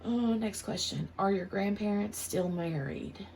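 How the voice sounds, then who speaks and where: Slow, gravelly-voiced American woman. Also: medium pitch, darkish tone, a little hiss or hum. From United States. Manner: rambling, chatty, laughs easily. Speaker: craft channel host. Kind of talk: casual chat while crafting.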